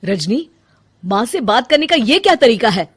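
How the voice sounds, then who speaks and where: A woman voicing a patient young husband in an animated story who keeps coaxing and pleading. The voice stays fairly high and lively, not made deep. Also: moderate pace, slightly rough.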